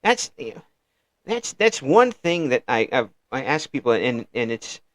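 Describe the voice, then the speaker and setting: Chuckling male interview host, lively pitch. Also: dry recording, clean studio sound. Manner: halting, many restarts and frequent chuckles. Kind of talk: casual video-call interview chat.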